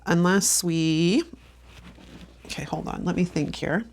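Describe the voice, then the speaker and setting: A low-pitched woman in her fifties with a gravelly edge, chatting to herself as she works through a planner spread. She sounds a little sniffly from allergies and breaks into easy laughs. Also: slow pace, a little hiss or hum. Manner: chatty, self-talking while crafting, laughs often. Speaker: planner vlogger. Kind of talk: casual plan-with-me chat.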